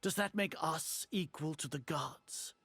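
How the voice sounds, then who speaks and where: An actor playing an arrogant older grand sage in a video game, speaking in a pompous, formal and condescending way. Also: male voice, a little high, measured pace, gravelly, fairly bright tone, husky, no room sound, heavily acted. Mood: scornful.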